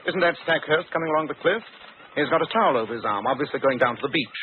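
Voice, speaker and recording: A man plays a commanding English detective, crisp and teasing. His voice is fairly high and very animated. The sound is that of a narrow old radio broadcast. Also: racing, lightly acted. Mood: brisk teasing. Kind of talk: 1940s radio acting. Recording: tinny, noisy old radio recording.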